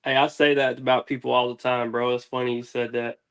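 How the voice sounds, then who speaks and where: A man's voice: mid-range voice, level tone, fairly quick, a bit bright. A man with a mid-range voice chats as a guest on a music show. He is laid-back and slangy, rambling easily from one point to the next. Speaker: interview guest, music artist. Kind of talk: casual chat.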